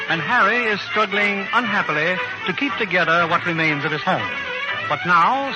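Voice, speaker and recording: High, animated old-time radio narrator, male. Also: low-quality recording. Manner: theatrical, emphatic. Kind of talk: dramatic scripted narration in 1940s radio manner.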